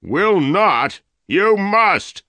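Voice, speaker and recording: A man's voice: mid-range voice, pushed and bright, no echo, pitched above the performer's own voice. A man voicing a fat, gray-haired older male leader who fires off demanding questions in a raised voice. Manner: demanding, hectoring rapid questions.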